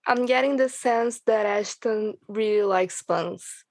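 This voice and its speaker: A woman chatting casually as a podcast guest, self-mocking and quick to laugh. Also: mid-range voice, a little mellow, dry recording. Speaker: writer and voice actor, panel guest. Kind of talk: casual chat.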